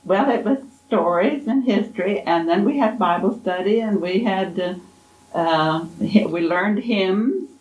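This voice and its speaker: Elderly woman, unhurried fond storytelling, gentle, echoey library room. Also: medium pitch. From Kansas, USA. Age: 80s. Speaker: oral history interviewee. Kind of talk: reminiscing interview. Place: college library.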